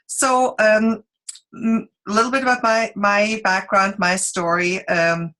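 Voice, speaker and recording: A middle-aged woman from Switzerland with a Swiss accent, telling her story as a guest speaker in a relaxed, unscripted way with halting restarts. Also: mid-range voice. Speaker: guest speaker, sales consultant. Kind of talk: informal presentation on a group call.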